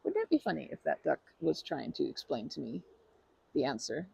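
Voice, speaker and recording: A woman doing an ASMR roleplay as a polite stranger, talking softly with hesitant, rambling chatter and many restarts, sometimes dropping to a whisper. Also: sits low, huge pitch swings, fairly quick, gravelly, breathy edge, no room sound. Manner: soft-spoken, drops to whispers.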